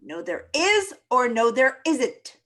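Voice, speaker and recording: Woman as a pompous military colonel, high voice, bright forceful edge, impatient, comedy script read. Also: big pitch swings, takes it slowly, heavily acted. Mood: exasperated. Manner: forceful, exasperated, emphatic.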